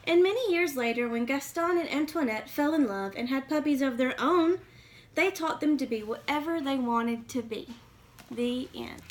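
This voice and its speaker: A woman's voice: high voice, expressive, moderate pace. A woman reading a children's picture book aloud expressively, with questioning asides to young listeners. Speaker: read-aloud reader.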